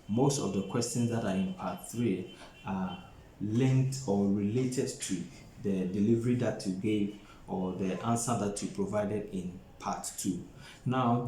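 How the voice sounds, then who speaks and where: A man in his thirties lecturing as an exam preparation tutor, speaking deliberately and repeating phrases for emphasis. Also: on the low side, dark tone. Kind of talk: instructional lecture to camera. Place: small plain indoor office. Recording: roomy echo, faint background noise.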